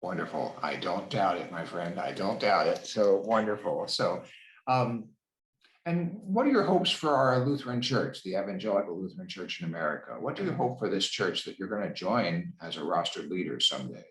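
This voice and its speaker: A man's voice: low-pitched, lively intonation, normal speed, fairly bright tone. A man hosting a church interview series over a video call, affirming his guest and repeating words of praise. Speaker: host of church discussion series. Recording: echoey room.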